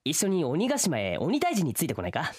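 Man playing an exasperated, very animated young hero. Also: on the high side, fairly quick, breathy edge, exaggerated voice. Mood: negotiating. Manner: blunt retorts, slangy straight-man. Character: exasperated, casual young folktale boy hero. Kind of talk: comedic radio drama acting.

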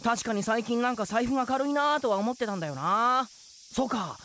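A woman's voice: fairly high pitch, normal speed, a bit bright, natural voice. A woman playing a carefree, irresponsible young male samurai in a comedic audio drama, speaking in casual, boyish slang.

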